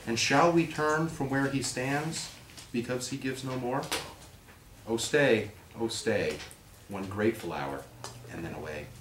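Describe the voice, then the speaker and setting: A man's voice: medium pitch, fairly bright tone, recorded from a distance. A man at a live reading, speaking theatrically with phrases that rise and fall. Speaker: reader at a readers theater event. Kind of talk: expressive live poetry recitation and introduction. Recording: echoey room, off-mic, faint noise.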